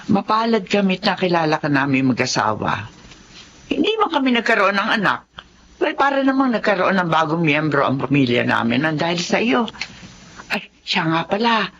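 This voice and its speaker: An actress playing a generous, motherly married employer in a Filipino melodrama, speaking in a gentle, reassuring way. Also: lowish voice, big pitch swings, moderate pace. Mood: grateful, affectionate. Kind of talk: Filipino radio-style melodrama acting. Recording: dull lo-fi audio with faint noise.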